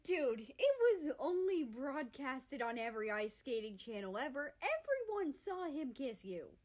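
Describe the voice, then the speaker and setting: Storybook excitable teasing guy, voiced by a woman, crowing, high-leaning pitch, drawn-out emphasis. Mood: excited. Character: Canada, excitable crowing teasing brother on phone.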